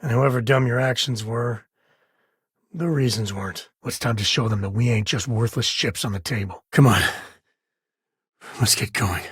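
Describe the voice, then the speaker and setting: A husky-voiced frontier spaceman for a video game, recorded close and dry. He is an easygoing ranger and family man with a folksy, wry way of talking. His pitch sits in an ordinary male middle range. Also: moderate pace, long pauses, no room sound. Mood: focused, steady. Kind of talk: video game character dialogue.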